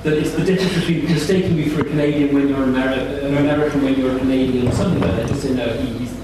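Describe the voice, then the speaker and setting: A man's voice: mid-range voice, steady tone, rapid-fire. A man on a live panel giving advice informally, in emphatic short instructions. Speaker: festival panellist. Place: indoor live event venue. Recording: very echoey room, distant mic, noise.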